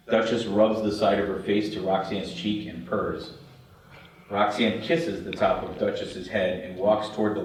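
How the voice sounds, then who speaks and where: Low-voiced man narrating in an echoey room. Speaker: narrator reading stage directions. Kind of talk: scripted narration at a screenplay table read. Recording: very echoey room, distant, faint noise.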